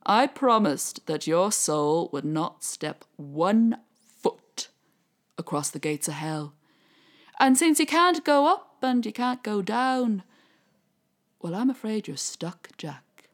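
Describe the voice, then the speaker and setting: A woman voicing a sly, courteous male devil who speaks slowly with mock sympathy. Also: mid-range voice, lively intonation. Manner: slow, smooth, mock-sympathetic.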